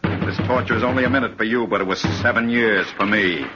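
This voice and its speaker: An actor playing a cold, vengeful man in a 1950s radio drama, speaking with deliberate menace. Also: fairly low pitch, loud music behind the voice, natural voice. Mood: gloating menace. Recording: muffled, noisy old radio recording.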